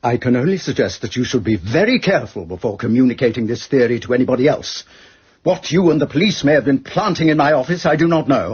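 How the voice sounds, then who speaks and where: Radio villain: indignant English professional, blustering and pompous, rough husky tone, slightly muffled sound. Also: male voice, medium pitch, animated, quick pace, a little mellow. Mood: stiff, indignant. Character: cornered, indignant poisoner, a well-spoken male professional. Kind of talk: radio drama acting. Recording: dull radio recording.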